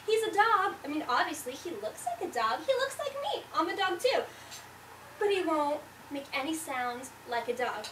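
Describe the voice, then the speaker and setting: A woman's voice: high voice, sing-song, normal speed, a bit bright. A woman voicing an exasperated, melodramatic mother dog who wails and pleads in a children's storybook read-aloud. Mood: frantic, complaining. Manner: dramatic wailing and pleading. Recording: roomy, distant mic, faint background noise.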